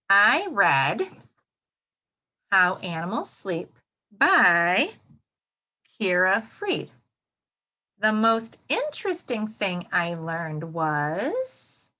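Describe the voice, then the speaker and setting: A woman with a bright, clear-cut tone speaks as an elementary teacher to young children. Her pitch swings widely and she takes her time, leaving room for answers. She prompts with questions and encourages in an exaggerated, child-friendly way. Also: rough recording. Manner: exaggerated, child-directed expressiveness. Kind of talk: interactive read-aloud lesson for young children.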